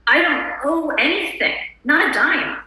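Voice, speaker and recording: A woman's voice: high-pitched, expressive, unhurried, sharp tone, exaggerated voice. A woman playing an anxious, polite suburban housewife in a screenplay table read, prim and chirpy. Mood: indignant, flustered. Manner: prim, apologetic, fussy. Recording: echoey room.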